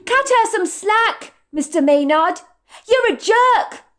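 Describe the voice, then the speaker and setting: A young waitress voiced by a woman speaks up high and strained, earnest and emotional. Her voice sits well above an already high reading voice. It is a storybook character read aloud. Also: expressive, slow pace, heavily acted. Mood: indignant. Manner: high, pleading, tearful delivery.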